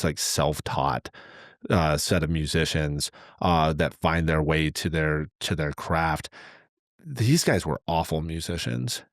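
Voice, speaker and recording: Low-voiced man, halting and earnest. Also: dry recording, studio quality. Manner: halting, rambling, earnest, thinking aloud. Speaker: podcast host. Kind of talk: casual podcast discussion.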